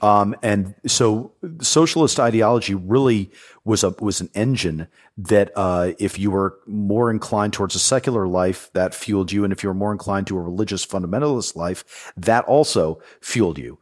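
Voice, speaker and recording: A man with a very low, deep voice walks a podcast host through history. He talks in a thoughtful, explanatory way, pausing with fillers and restarting phrases. He sounds like a former soldier now speaking as an informed guest.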